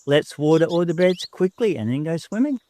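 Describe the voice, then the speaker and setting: A man voicing a teasing, bossy young girl cousin in a storybook, playful and animated. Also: fairly high pitch, a little fast, a little hiss or hum, pitched above the performer's own voice, natural voice.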